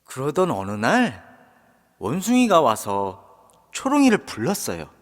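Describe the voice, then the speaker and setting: A man narrating a children's storybook in an expressive, drawn-out way. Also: mid-range voice. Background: background music.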